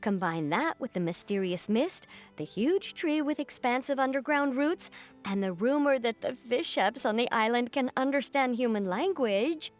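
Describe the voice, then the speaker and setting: A woman's voice: on the high side, expressive, normal speed, faint music behind, close to an everyday voice. A woman playing a dramatic, superstitious fishing official who talks like an earnest, theatrical storyteller in a video game. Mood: earnest, insistent.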